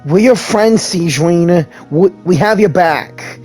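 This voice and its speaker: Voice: mid-range voice, takes it slowly, a little mellow, faint music behind. A video game player giving casual commentary and speaking along with the story during a playthrough.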